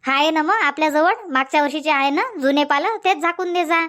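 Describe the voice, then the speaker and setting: A woman's voice: sits high, fairly even intonation, quick pace, a bit bright. A woman voicing a nagging middle-aged village farm wife in a comic cartoon, scolding. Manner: scolding, insistent, long rants. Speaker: cartoon voice actor.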